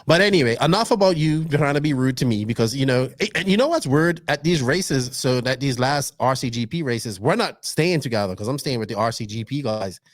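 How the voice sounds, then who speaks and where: Animated teasing podcast host. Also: male voice, medium pitch, fairly quick, hardly any pauses, no room sound, clean studio sound. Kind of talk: casual chat.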